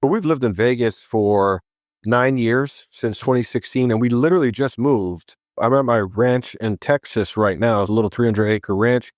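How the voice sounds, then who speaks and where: Man from Hawaii, podcast guest, casual storytelling, medium pitch, dry sound. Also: moderate pace, no echo. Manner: chatty, animated voice when quoting himself.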